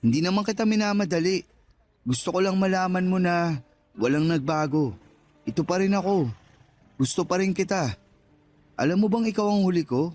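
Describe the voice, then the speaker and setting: Higher-voiced Filipino sweet-talking suitor. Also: male voice, lively intonation, moderate pace, pauses often, a little mellow. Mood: earnest wooing. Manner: flirtatious, earnest. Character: persistent, sweet-talking suitor wooing his old flame. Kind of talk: Tagalog radio drama dialogue. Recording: faint music bed.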